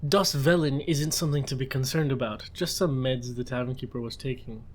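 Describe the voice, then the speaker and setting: A man's voice: mid-range voice, fairly even intonation, fairly quick, darkish tone. A man narrating a story in a conversational, informal way with wry asides.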